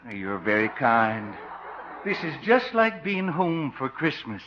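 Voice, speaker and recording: An actor playing a mild, over-polite pickpocket jailbird in a radio comedy, speaking in a courtly, apologetic and wistful way. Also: male voice, fairly high pitch, very animated, slow. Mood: courteous, apologetic. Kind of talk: 1950s radio comedy acting. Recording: noisy, dull 1950 radio recording.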